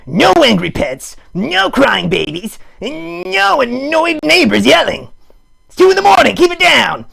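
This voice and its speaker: A man voicing a silly, excitable puppet schemer in an improvised puppet show, with a raspy, gravelly voice and animated, mock-serious delivery. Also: very high voice, unhurried, pitched above the performer's own voice, full character voice. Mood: playful.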